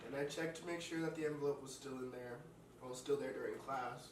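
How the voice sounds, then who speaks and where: A man's voice: mid-range voice, fairly even intonation, fairly quick, close to an everyday voice. A man playing a goofy young housemate who makes sheepish excuses in a casual, hesitant table read. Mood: sheepish, hopeful. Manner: hesitant, casual, slangy delivery. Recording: roomy, distant mic, clearly noisy.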